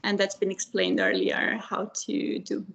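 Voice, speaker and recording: A woman's voice: mid-range voice, little pitch movement, unhurried, gravelly, a bit bright. A young woman from Romania, a panelist on a video call, talking hesitantly with many fillers about her traineeship. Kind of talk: online panel talk.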